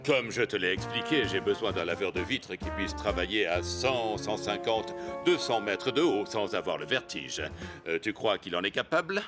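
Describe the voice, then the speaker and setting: An actor playing a businesslike building owner in a cartoon, exacting but fair, speaking deliberately and formally. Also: male voice, lowish voice, animated, over loud music, natural voice. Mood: exacting, doubtful.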